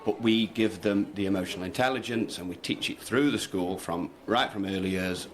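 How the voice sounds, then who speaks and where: A man, a teacher, speaking earnestly on a radio show with frequent restarts. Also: sits low. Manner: halting, earnest, many restarts. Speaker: teacher, guest. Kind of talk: radio interview.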